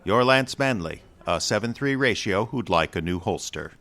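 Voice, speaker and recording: An actor playing a weary, kindly old man who seems to be Santa, gentle and knowing, in a radio drama. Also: lowish voice, animated, moderate pace. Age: older.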